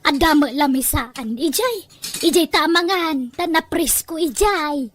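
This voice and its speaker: A woman playing a lively, defiant village woman in an audio drama, breezy and unbothered by what others think. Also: sits high, unhurried, slight background noise. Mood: breezy, insistent.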